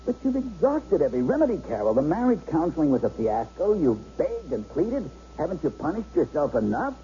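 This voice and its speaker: A man's voice: fairly high pitch, big pitch swings, brisk, mellow. A man playing a protective lawyer in a radio drama, earnest, insistent and persuasive. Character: urgent, protective lawyer pleading with a friend. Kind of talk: 1970s radio drama acting. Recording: dull, noisy old radio broadcast recording.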